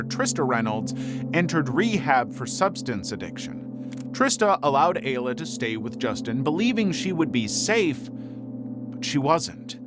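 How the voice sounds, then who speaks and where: A man reading scripted true crime documentary narration in a measured, serious storytelling manner. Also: fairly high pitch. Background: music behind the voice.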